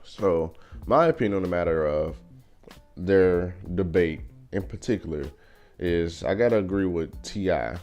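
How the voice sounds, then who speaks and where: Deep-voiced young man musing. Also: a little mellow, dull audio, faint music behind. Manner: thoughtful, measured, frequent fillers. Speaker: vlogger. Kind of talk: casual opinion commentary. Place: car.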